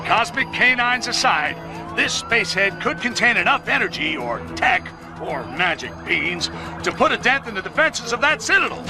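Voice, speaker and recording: A man's voice: very high voice, exaggerated voice. A man voicing a grouchy middle-aged TV newsman in a video game, bombastic and sarcastic. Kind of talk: animated game news broadcast narration. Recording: music behind.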